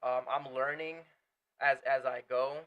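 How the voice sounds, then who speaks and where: A man's voice: medium pitch, fairly even intonation, a little slow, highs cut off. A young man from Portugal, a native Portuguese speaker, chatting earnestly as a guest about his business and family, often restarting his words. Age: young adult. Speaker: guest, entrepreneur. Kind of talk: casual interview chat.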